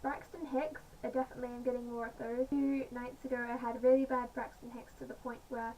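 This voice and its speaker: A woman with a slightly croaky voice, chatting casually in a home vlog with many pauses and fillers. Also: a little high, flat delivery, darkish tone. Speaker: vlogger. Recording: distant mic, faint background noise.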